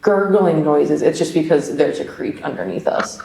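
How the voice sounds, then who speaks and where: A woman's voice: deep voice, animated, moderate pace, creaky, mellow, slight background noise. A young woman, a paranormal co-host, talking casually and explaining things plainly with many fillers. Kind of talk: casual chat on a ghost hunt. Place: old basement room with echo. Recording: echoey basement room.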